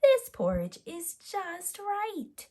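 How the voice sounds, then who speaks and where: Storybook little girl, played by a woman: high-pitched, animated, fussy and curious. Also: heavily acted. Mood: content. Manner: drawn-out, exclaiming complaints.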